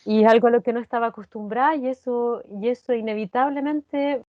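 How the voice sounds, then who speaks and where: A woman with a Chilean accent speaking reflectively and earnestly as an interview guest, halting and repeating words for emphasis. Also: a little high, fairly even intonation, dry recording, phone-quality sound. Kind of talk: reflective personal conversation.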